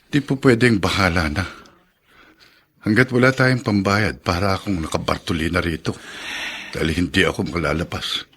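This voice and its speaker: An actor playing a pessimistic hospital patient who frets over money, in a radio drama. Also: male voice, sits low, normal speed, a bit bright, breathy edge, heavily acted. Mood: worried, bitter.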